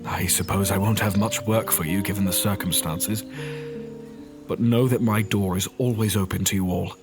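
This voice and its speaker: A man playing a gentle, grieving doctor in an audio drama, speaking earnestly and with measured care. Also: low voice, steady tone, over music. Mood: tender, earnest. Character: gentle, grieving Doctor Watson, caring male friend.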